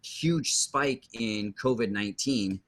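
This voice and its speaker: A man's voice: medium pitch, slow, a bit bright. A man hosting a conversational podcast, asking loose, rambling questions full of fillers. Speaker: podcast host. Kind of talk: casual conversational interview.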